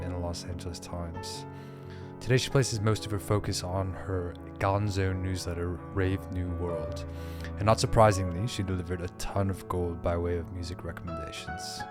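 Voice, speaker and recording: A male podcast host reads a friendly scripted introduction in a very low voice. Guitar music plays clearly underneath him.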